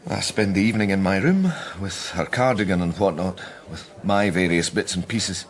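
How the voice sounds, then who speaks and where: Deep-voiced, hesitant Scottish psychic. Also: male voice, moderate pace. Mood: guarded, thoughtful. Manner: hesitant, reflective. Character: weary, self-doubting male psychic detective, softly spoken. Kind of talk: naturalistic radio drama acting with first-person narration.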